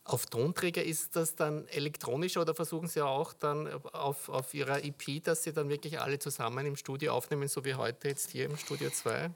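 A radio host speaks in a studio, putting curious, conversational questions to a guest. The voice sits at a middle pitch with a noticeable gravelly creak. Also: steady tone, a little fast, hardly any pauses.

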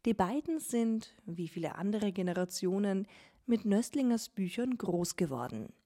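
A woman radio reporter narrating a cultural feature in an even, measured way. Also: medium pitch. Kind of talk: scripted narration.

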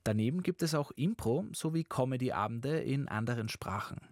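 A man reading a scripted news voice-over in an even, measured way. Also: medium pitch, no echo, clean studio sound. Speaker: news reporter. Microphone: studio microphone.

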